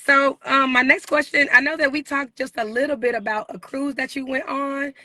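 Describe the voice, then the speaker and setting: High, bright-voiced woman interviewer. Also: fairly even intonation, moderate pace, no room sound, clean studio sound. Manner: informal, conversational, slangy. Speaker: podcast-style interview host. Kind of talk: casual chat interview.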